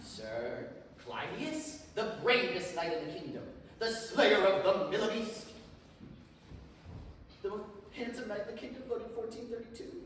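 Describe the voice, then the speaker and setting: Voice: medium pitch, lively intonation, measured pace, a bit bright. An actor playing a boastful, pompous knight in a live puppet show, declaiming with theatrical indignation. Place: large old gym, live stage performance. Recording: echoey hall, distant mic, background noise.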